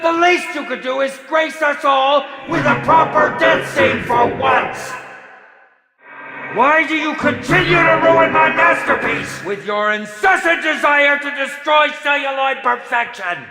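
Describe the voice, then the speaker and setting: A man's voice: extremely high pitch, unhurried. A man playing a pompous, maniacal horror film director in a video game, theatrically exasperated and melodramatic. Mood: outraged shrieking. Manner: pompous, melodramatic, swooping from sly to shrieking. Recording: echoey hall reverb with music behind.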